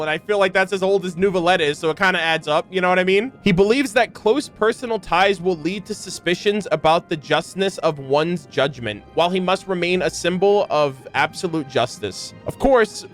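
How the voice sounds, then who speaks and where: Young male gaming host, slightly high voice, enthusiastic and informal lore commentary over light music. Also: a bit bright. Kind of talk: casual commentary and lore reading.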